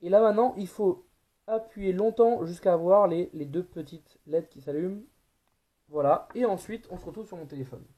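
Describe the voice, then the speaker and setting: Guy doing a casual how-to product vlog, bit raspy, kinda figuring it out out loud. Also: high-pitched, moderate pace. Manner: informal, thinking aloud with frequent hesitations. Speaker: vlogger presenting a product. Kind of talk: casual hands-on product tutorial.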